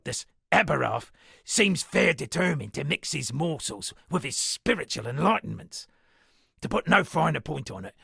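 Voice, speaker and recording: An actor playing a self-satisfied town poet and storyteller in a video game, speaking in a florid, dramatic manner with a gravelly, husky voice. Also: male voice, fairly high pitch, sharp tone, dry recording, clean studio sound, heavily acted. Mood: wry musing. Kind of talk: theatrical game dialogue with declaimed verse.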